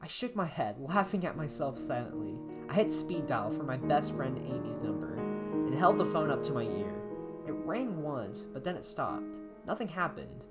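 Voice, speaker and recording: A man's voice: medium pitch, sing-song, normal speed. A young man playing an anxious, isolated college student in a first-person horror story, with a hesitant, brooding delivery. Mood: hushed, apprehensive. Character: anxious isolated college-age man writing down his unease. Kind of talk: dramatic horror story reading. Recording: music under the voice.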